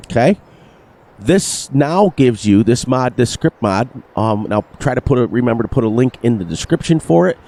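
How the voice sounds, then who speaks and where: Upbeat man, slightly nasal, mellow voice. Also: mid-range voice. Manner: upbeat, sing-song repetitions, excitable. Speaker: gaming channel host. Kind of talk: narrated gameplay commentary.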